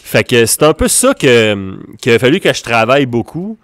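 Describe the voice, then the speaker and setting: Smooth-voiced Franco-Ontarian man. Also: medium pitch, sing-song, normal speed, dry recording, studio quality. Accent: Franco-Ontarian French. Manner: rambling, restarts often. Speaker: guest musician. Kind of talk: casual conversation.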